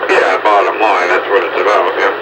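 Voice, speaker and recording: A man's voice: low-pitched, flat delivery, normal speed. A man chatting casually and in a folksy, rambling way over two-way radio. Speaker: amateur radio operator. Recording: distant noisy two-way radio signal.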